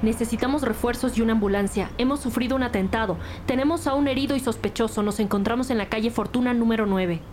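An actress playing an authoritative, protective senior police detective in a crime audio drama, with a brisk way of talking. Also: female voice, on the high side, darkish tone, slight background noise, close to an everyday voice. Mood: urgent. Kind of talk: scripted crime drama acting. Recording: studio drama with music underneath.

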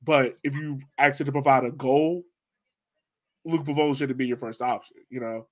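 An American man with a mid-pitched voice co-hosts a sports podcast. He speaks in a casual, conversational way, as if talking things over with fellow fans. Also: steady tone, moderate pace. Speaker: soccer podcast co-host.

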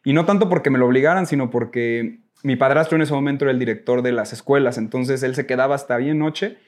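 A Mexican man with a mid-pitched voice is the guest in an interview. He tells his own stories informally, often rambling and correcting himself along the way. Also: quick pace. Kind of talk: casual personal storytelling.

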